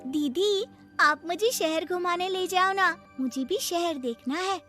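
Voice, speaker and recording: A very high-pitched, naive village schoolgirl, acted by a woman for a cartoon story. She is eager and stubborn, asking wide-eyed questions and pleading at an unhurried pace, with music behind her. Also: bright, forceful tone, full character voice. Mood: curious, insistent. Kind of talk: Hindi moral-story cartoon dubbing.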